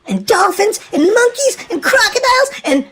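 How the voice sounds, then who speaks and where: Casting: woman as excitable little boy for a storybook, very high voice, wildly swooping delivery, dramatic exclamations. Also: normal speed, full character voice. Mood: excited. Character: Willy-Nilly, hungry excitable little boy adventurer. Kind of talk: children's storybook read-aloud.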